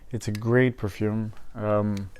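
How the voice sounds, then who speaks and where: Slightly hoarse man, fairly low voice. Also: fairly even intonation, darkish tone. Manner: hesitant, halting, often repeating words. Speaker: fragrance reviewer and host. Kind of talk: casual unscripted review to camera.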